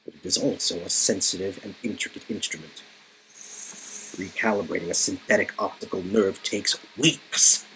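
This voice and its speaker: A man playing a haughty low-voiced cyborg villain. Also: fairly even intonation, sharp tone, slight background noise, poor audio. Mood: cold, haughty. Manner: slow, pompous, declarative. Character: menacing male cyborg villain, haughty and unyielding.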